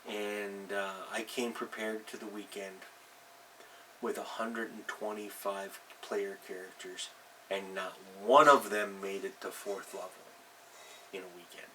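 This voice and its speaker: Bright-voiced, low-pitched man. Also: steady tone, measured pace. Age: middle-aged. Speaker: host, tabletop game master. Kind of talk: casual advice vlog, unscripted. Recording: distant mic with faint background noise.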